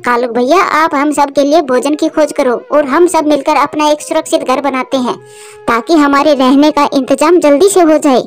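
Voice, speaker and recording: A woman's voice: high voice, steady tone, normal speed, faint music behind, natural voice. A woman voicing a kind, sensible little female sparrow in a children's story cartoon. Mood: practical. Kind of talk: Hindi children's moral story cartoon dialogue.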